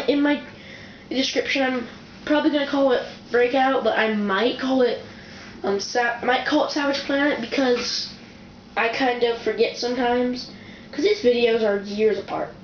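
Excitable woman reviewer, rambling and self-correcting, recorded on a camera mic in a roomy, echoing home room. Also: on the high side. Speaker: toy reviewer. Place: indoor room with a wooden floor, off camera. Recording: dull, echoey home camera recording with faint hiss.

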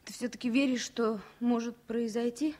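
A tender young stewardess in a vintage Soviet radio drama acted by a woman. Her voice sits fairly high with a breathy, husky edge. She coaxes softly and affectionately, with resolve underneath. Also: steady tone, normal speed, lightly acted. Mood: affectionate, reassuring. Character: young loving flight attendant, tender but resolute. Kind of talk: 1970s Soviet radio play acting.

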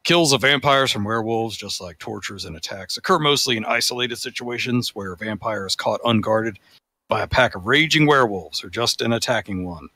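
A man's voice: lowish voice, a little fast, pushed and bright, dry recording. A man voicing a haughty, pedantic vampire forum poster, reading formally and pompously. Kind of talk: dramatic reading of forum posts.

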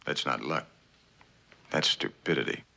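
Very deep, gravelly gunfighter. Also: male voice, measured pace, full character voice. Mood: cool contempt. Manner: laconic, deadpan. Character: terse, stoic, hard-bitten gunfighter called Indio. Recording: noisy old TV soundtrack, music behind.

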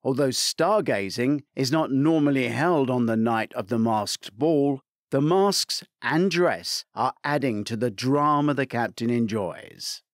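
A man reading a crime thriller aloud as scripted narration, measured and theatrical, lifting his voice for quoted commands. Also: medium pitch, no room sound, clean studio sound. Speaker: audiobook narrator.

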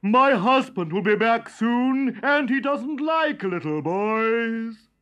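A shrill, bossy giantess who snaps out orders in a high, fussy voice, as a man reads her aloud. Her pitch leaps widely. She is a storybook character. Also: lively intonation, slow pace, a bit bright, dull audio, full character voice. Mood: urgent.

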